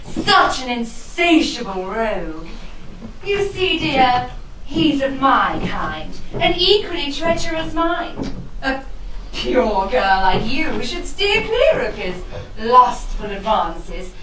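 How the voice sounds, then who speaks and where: Woman as a sharp, haughty Victorian temptress. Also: sits high, sing-song, slow, heavily acted. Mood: smug, condescending. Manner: haughty, theatrical. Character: worldly, condescending Victorian lady, lustful rival schemer. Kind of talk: comic costume stage melodrama in rhyme. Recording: echoey hall from far off, faint noise.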